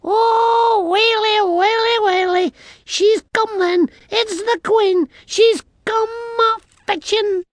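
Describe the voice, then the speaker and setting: A narrator voicing a tiny, rowdy male warrior creature with a Scottish accent and loud dialect speech, for a fantasy storybook. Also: very high voice, takes it slowly, no echo, clean studio sound, pitched above the performer's own voice, heavily acted. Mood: wailing dismay. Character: tiny rowdy blue-skinned warrior man, anxious, boastful thief.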